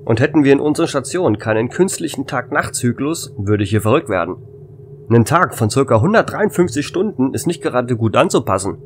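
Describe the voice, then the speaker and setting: A man's voice: mid-range voice, lively intonation, a little fast, close to an everyday voice. A man playing a wry, easygoing space station worker in a German radio play, with a casual, teasing manner. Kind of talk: amateur radio drama acting. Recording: faint noise and hum.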